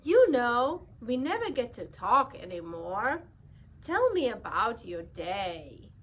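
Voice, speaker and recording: A woman's voice: fairly high pitch, sing-song, takes it slowly, a little hiss or hum, exaggerated voice. A woman voicing a stubborn young male pigeon who wheedles and bargains to stay up late. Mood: pleading. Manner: wheedling, pleading, loud bargaining.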